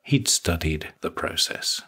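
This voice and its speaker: A male narrator with a low, very husky and rough voice. He reads a scripted short story for an audiobook. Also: lively intonation, slow pace, pushed and bright.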